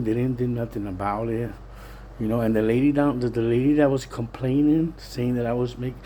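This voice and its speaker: A man's voice: medium pitch, dark tone, slight background noise. A plain-spoken, streetwise Puerto Rican man giving a casual, rambling, repetitive monologue. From Puerto Rican, living in Pennsylvania, USA. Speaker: vlogger.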